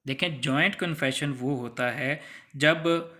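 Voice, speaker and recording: A man's voice: fairly high pitch, slow, pushed and bright. A man with a Pakistani accent lecturing in a conversational, didactic way, explaining step by step and mixing in English legal terms. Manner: didactic, conversational, acts out hypothetical examples. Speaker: law lecturer.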